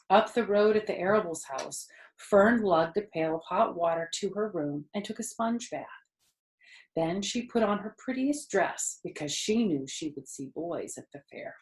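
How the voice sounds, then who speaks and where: A woman storyteller reading a children's book expressively, lingering deliberately on the ends of phrases. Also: mid-range voice, darkish tone, echoey room, rough recording.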